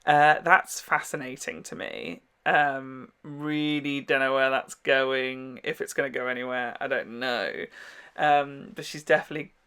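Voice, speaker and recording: A man hosting a casual reaction video, with a noticeably creaky, mid-pitched voice. He talks in a candid, rambling way, gushing freely and swearing as he goes. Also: flat delivery, measured pace, sharp tone. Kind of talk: casual TV episode reaction chat.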